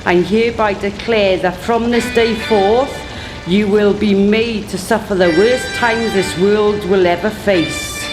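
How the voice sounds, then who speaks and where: An actress playing a menacing, fanatical old servant hag in a radio play, theatrical and ominous. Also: female voice, medium pitch, steady tone, unhurried, over music, natural voice, low-quality recording. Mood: ominous curse.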